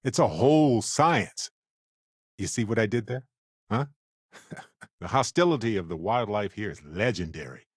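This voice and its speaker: Deep, wisecracking space explorer. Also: male voice, expressive, a little slow, long pauses, breathy edge, no room sound, studio quality. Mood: playful, wry. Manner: playful, wry, conversational. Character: affable, wisecracking adult male space explorer and scientist. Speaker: video game voice actor. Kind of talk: video game companion dialogue.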